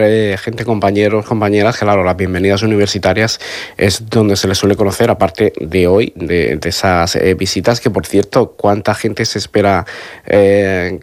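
A man hosts a conversational interview. His voice is fairly low, and he phrases his questions hesitantly, piece by piece. The sound is close and dry. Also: no echo. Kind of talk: conversational radio-style interview.

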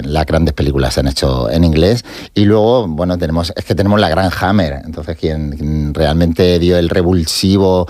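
Deep-voiced man talking film, many fillers. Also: darkish tone, no echo. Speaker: guest film expert. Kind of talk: casual radio talk.

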